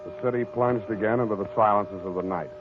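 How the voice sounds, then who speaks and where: A man playing a wry, weary private detective in deadpan 1940s radio style, narrating his own story on a tinny broadcast. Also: on the low side, fast talker, background noise, lightly acted. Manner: deadpan, wisecracking. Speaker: lead actor and narrator in a radio detective drama.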